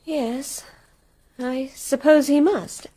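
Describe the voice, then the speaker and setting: An actress playing an inquisitive, anxious wife in a radio play ghost story, speaking in an earnest, probing way. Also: female voice, sits high, steady tone, drawn-out words, dark tone. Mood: curious, watchful. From New York.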